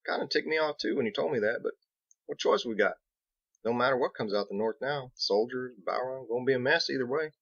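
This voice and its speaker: A man performs a friendly, plain-spoken workman from a storybook. He talks in a rustic drawl, dropping words, in a voice pitched up and bright. The recording is dry and slightly dull. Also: a little fast, no echo. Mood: candid, folksy. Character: Biggs, folksy plain-spoken male mason, friendly and shrugging. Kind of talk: audiobook character dialogue.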